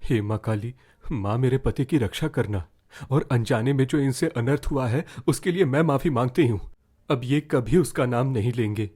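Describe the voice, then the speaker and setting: A man's voice: medium pitch, normal speed, warm tone, husky, pitched above the performer's own voice, close to an everyday voice. A man voicing a young village wife who is anxious, devout and superstitious, speaking in a fretful, pleading way. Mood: fearful, pleading.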